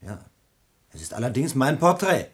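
An actor playing a courteous, bewildered gentleman in a theatrical radio comedy, politely incredulous and protesting. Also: mid-range voice, big pitch swings, normal speed, close to an everyday voice. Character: courteous, bewildered gentleman stranger mistaken for royalty. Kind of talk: theatrical radio drama acting. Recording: roomy, echoing, faint background noise.

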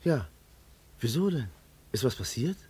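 An actor playing an anxious, hesitant man under police questioning, husky-voiced, in a radio drama. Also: mid-range voice, sing-song, unhurried, dark tone, exaggerated voice. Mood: uneasy. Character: uneasy male visitor questioned by police.

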